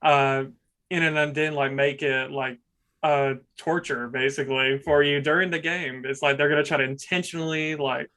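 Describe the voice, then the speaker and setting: A young man hosting a casual podcast interview. He talks in a monotone and rambles, with many fillers. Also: a little high, normal speed. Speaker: podcast host.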